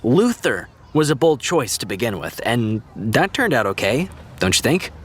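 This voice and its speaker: An actor playing an easygoing, diplomatic TV writer who explains things in a reassuring, conversational way, in an audio drama. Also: male voice, medium pitch, sing-song, normal speed, breathy edge. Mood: defensive. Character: easygoing, diplomatic TV writer consoling a young fan. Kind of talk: naturalistic audio drama dialogue.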